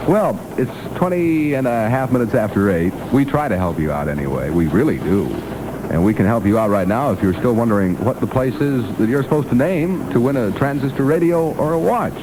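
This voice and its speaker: A man's voice: mid-range voice, animated, a little fast. An American man hosting a morning radio show, announcing and bantering conversationally straight to listeners. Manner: conversational, addresses listeners directly. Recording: noisy vintage 1960s radio aircheck.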